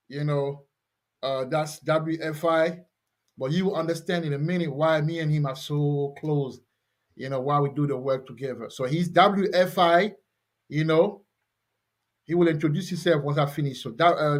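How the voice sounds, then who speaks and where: A man with a fairly high speaking voice leads an online scripture lesson. He rambles loosely, repeating himself and leaning on fillers as he goes. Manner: loose, repetitive, with many fillers. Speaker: host teaching scripture. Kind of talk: casual religious teaching over video call.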